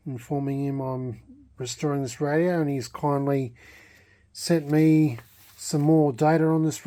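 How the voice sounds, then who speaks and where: A man narrating a casual, unscripted hobby vlog in a hesitant, halting way, thinking aloud as he goes. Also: fairly high pitch, warm tone. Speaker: hobbyist vlogger restoring a vintage radio.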